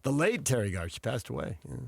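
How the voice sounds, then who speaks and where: A man hosts casual sports talk with a lively, animated voice that moves up and down a lot. His pitch sits in the middle for a man. He is playful and close to the mic in a dry studio. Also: fast, vocal fry, no echo. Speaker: sports radio co-host. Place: radio studio.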